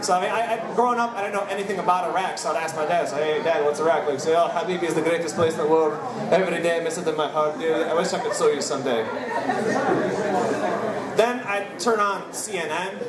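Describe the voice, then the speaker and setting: Rambling, self-mocking man comic with a high voice, recorded from a distance in an echoey room with a loud crowd. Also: fast talker. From United States. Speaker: stand-up comedian. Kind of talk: stand-up comedy set. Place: large indoor venue.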